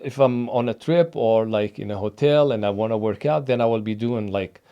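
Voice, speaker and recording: A man's voice: fairly low pitch, normal speed, smooth, dull audio. A man originally from Tunisia, a fitness trainer talking casually in an interview, emphatic and hesitant with many fillers.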